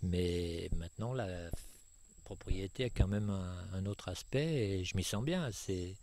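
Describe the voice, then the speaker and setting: A man's voice: low voice, animated, measured pace, darkish tone, a little hiss or hum. A retired Frenchman in his sixties being interviewed outdoors, talking with many fillers and restarts. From France. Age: 60s. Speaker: retired property owner. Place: outdoors on a jungle estate.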